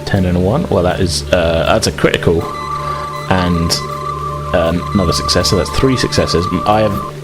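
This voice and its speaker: A man's voice: low-pitched, fairly even intonation, normal speed. A man narrating a tabletop roleplaying game as game master, conversational and a little hesitant as he improvises. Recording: loud music and rain ambience behind. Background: music, rain sound effects.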